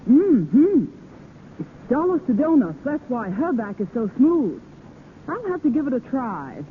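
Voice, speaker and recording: An excited, girlish fan, high-pitched and very animated. It is 1930s radio ad acting through a tinny old recording. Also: a little slow, slight background noise.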